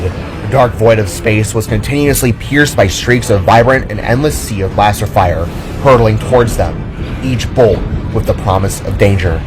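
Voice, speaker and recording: A man narrating a scripted audio drama in a dramatic storytelling manner. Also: fairly low pitch.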